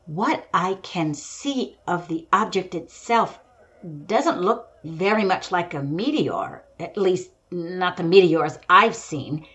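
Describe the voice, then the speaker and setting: A woman's voice: fairly low pitch, big pitch swings, slow pace, fairly bright tone, lo-fi sound, full character voice. A woman playing an earnest female radio news reporter in a 1930s-style broadcast drama, describing a scene with dramatic deliberation. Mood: awed. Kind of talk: 1930s radio newscast acting.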